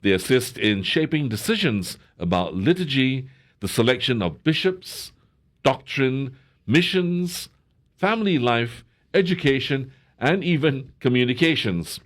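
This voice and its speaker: A male co-host delivers a scripted introduction with a very lively, rising and falling pitch. He moves through a list of items with bright emphasis. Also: measured pace.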